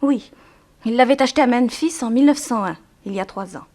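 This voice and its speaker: An actress as a composed, articulate young bereaved daughter. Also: female voice, fairly high pitch, fast talker, a little mellow, close to an everyday voice. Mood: thoughtful. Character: composed young woman, bereaved planter's daughter, frank and guarded. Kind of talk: 1960s French radio drama acting.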